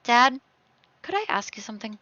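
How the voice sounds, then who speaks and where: Woman acting a bright-voiced, emotional teenage daughter. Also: on the high side, big pitch swings, dry recording, muffled recording, pitched above the performer's own voice. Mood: hesitant. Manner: halting, pleading, rising to heated outbursts. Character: grieving, defiant teenage daughter, hurt and emotional. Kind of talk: amateur dramatic reading of a story.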